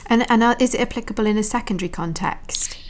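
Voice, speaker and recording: A woman's voice: a little high, quick pace. A woman interviewing in a conversational academic style, phrasing her questions in a wordy, exploratory way.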